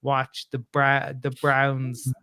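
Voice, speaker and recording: Irish man chatting, halting with fillers. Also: medium pitch, monotone, gravelly, pushed and bright, clear, resonant tone, no room sound. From Ireland. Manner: rambling, with many fillers and restarts. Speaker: guest. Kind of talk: casual podcast chat.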